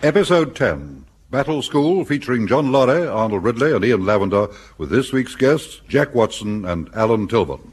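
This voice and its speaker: An English man announcing formally in the style of a wartime radio news bulletin, with a husky voice. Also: medium pitch, very animated, moderate pace, hardly any pauses, a little hiss or hum. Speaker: announcer and newsreader.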